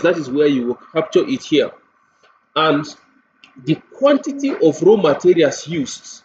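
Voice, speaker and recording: Nigerian male software demonstrator, slightly high voice, patient-paced explanatory tutorial read, muffled lo-fi mic. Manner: explanatory, repeats points with everyday examples. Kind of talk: spoken tutorial walkthrough. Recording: dull lo-fi mic with faint noise.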